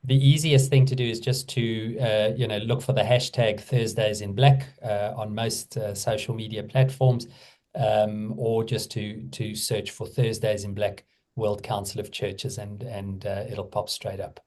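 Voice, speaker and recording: A middle-aged man with a South African accent, speaking as a guest in a conversational interview, measured and thoughtful, with frequent fillers. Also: fairly low pitch, flat delivery, a little mellow. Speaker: guest, theology professor.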